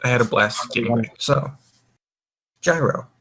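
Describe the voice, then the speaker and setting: A man's voice: mid-range voice, measured pace, sharp tone, slightly rough. A drunk young man hosting a casual group chat, rambling and swearing as he goes. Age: young adult. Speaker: voice call host.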